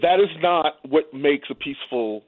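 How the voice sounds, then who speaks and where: A man's voice: fairly high pitch, lively intonation, moderate pace, a little hiss or hum. A man giving casual political commentary as a radio guest, talking in a wry, colloquial way. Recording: dry, phone-like narrow sound.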